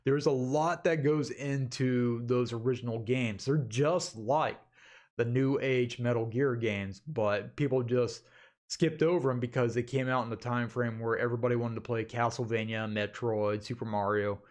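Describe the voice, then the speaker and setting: A man's voice: medium pitch, fairly even intonation, moderate pace, darkish tone. Male vlog host, mid-range voice, informal rambling delivery, talks straight to viewers like buddies. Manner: informal, chatty, addresses viewers as guys. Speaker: YouTube channel host.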